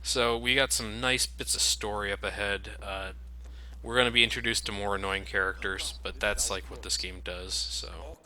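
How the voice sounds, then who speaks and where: A man's voice: on the low side, steady tone, normal speed, pushed and bright. A man giving casual, wry let's play commentary over a video game, swearing now and then. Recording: noisy game sound under commentary.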